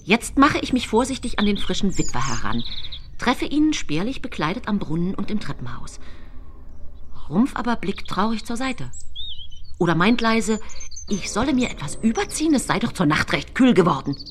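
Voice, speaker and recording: An actress playing a secretive, falsely devoted poisoner who confesses in measured radio drama narration. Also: female voice, mid-range voice, very animated, slight background noise, lightly acted. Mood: quietly scheming. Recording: studio radio drama with music.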